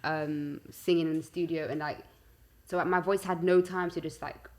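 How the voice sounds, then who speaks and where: A young woman with a London accent and a fairly low voice. She talks in a loose, slangy, conversational style, spinning out anecdotes with a giggly, animated manner. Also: normal speed. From West London, UK. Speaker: singer-songwriter interview guest. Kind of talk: casual chat.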